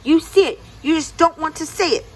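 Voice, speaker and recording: A woman's voice: high voice, a little fast, slight background noise, voice pushed up, close to an everyday voice, poor audio. A woman voicing Anansi's part in a children's storybook, a sly male trickster with a coaxing, insistent way of talking. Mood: impatient, angry. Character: sly lazy trickster spider Anansi, eager and pushy, male.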